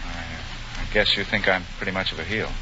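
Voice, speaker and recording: An American man playing a glib, flustered racketeer gone soft in a 1940s radio comedy. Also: deep voice, steady tone, fairly quick, close to an everyday voice. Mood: earnest. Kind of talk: 1940 radio comedy acting. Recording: muffled, noisy vintage radio broadcast.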